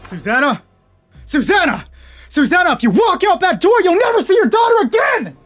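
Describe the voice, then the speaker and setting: A man's voice: extremely high pitch, big pitch swings, normal speed, fairly bright tone, dry recording, studio quality, faint music behind, pitched above the performer's own voice, full character voice. A man playing an angry, exasperated husband in an audio drama, indignant and confrontational. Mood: furious.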